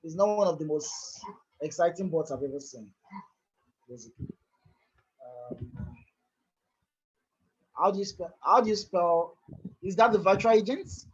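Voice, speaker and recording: A man with a breathy, somewhat raspy voice that sits high for his sex walks viewers through a software demo. He talks casually, circling back and restarting phrases as he goes. The sound is dull and lo-fi, like a computer mic on an online call. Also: a little slow, long pauses. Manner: loose, conversational, repeats and restarts phrases. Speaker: presenter. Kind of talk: live screen-share software tutorial.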